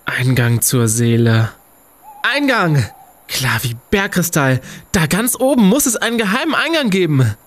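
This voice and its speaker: A man's voice: on the high side, big pitch swings, measured pace. A young man playing a bossy, pedantic student adventurer in a radio play, theatrical and officious. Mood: self-assured.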